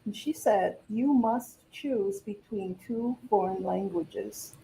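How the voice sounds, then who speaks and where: An older woman from Hawaii, a podcast guest telling a story in a lively way and laughing easily. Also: medium pitch, slow, vocal fry, a little hiss or hum, rough recording. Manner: warm, laughing, animated storyteller. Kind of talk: storytelling in conversation.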